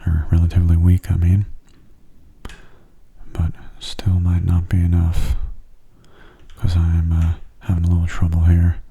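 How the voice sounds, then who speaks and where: A man giving soft-spoken ASMR game commentary in a gentle, breathy, unhurried voice that often drops close to a whisper. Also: monotone, highs cut off. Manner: gentle, unhurried, low-key. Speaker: gaming video host.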